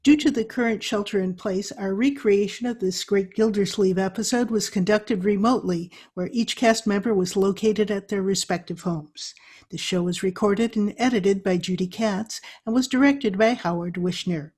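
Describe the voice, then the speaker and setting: Husky woman radio announcer. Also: mid-range voice, moderate pace, a little mellow. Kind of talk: scripted radio credits announcement.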